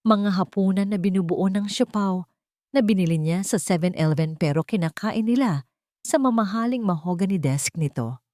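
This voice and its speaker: A woman's voice: medium pitch, normal speed, dark tone, no room sound, clean studio sound. A woman storyteller narrating a scripted romantic story.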